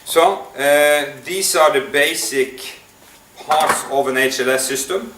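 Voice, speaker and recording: A man giving an unscripted product demonstration, explaining step by step in a halting, hesitant way. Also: on the high side. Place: indoor room at a table. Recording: echoey room, distant mic, faint noise.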